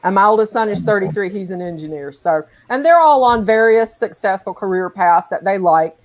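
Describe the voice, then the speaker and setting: A woman's voice: mid-range voice, animated, fairly quick, low-quality recording. A woman, a talk show host who chats with a guest and asks long questions. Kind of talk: interviewing a guest.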